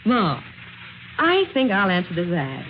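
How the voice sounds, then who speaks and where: A woman's voice: fairly low pitch, huge pitch swings, unhurried. An actress playing a polite, proud, guarded young woman out of work, in 1940s radio drama style. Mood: grateful, playful. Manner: polite, guarded, gently wry. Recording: muffled, noisy old radio recording.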